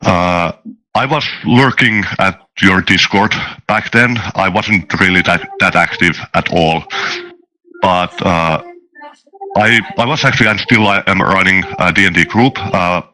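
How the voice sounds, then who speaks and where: A man chatting casually as a guest, halting and thoughtful, often repeating words as he goes. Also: deep voice, sharp tone, rough recording. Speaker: interview guest, tabletop game master. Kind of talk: casual chat.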